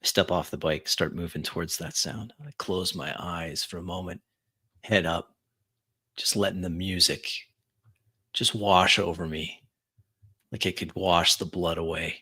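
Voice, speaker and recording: A man playing a tabletop game, narrating his character's actions in deliberate, dramatic short phrases. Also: low voice, steady tone, bright, forceful tone, breathy edge. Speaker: player. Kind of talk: first-person roleplay narration.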